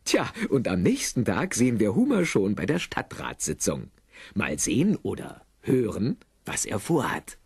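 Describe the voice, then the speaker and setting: A man with a husky voice narrating an audio drama in an animated, wry storytelling style. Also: lowish voice, normal speed, dry recording, heavily acted. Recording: dry cassette studio narration over music. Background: music.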